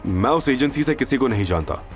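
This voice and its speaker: A man plays a decisive young businessman in an audio drama, close to his own natural voice. He speaks firmly and keeps his lines brief, with lively intonation. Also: medium pitch, brisk, faint music behind, lightly acted. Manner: firm, brief.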